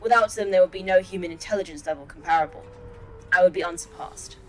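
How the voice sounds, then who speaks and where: Calm, low-pitched female AI computer, acted. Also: soft background music, close to an everyday voice, rough recording. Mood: coolly assured. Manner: polite, measured, precise, deadpan. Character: calm, formal, superior artificial intelligence computer. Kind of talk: audio fiction podcast acting.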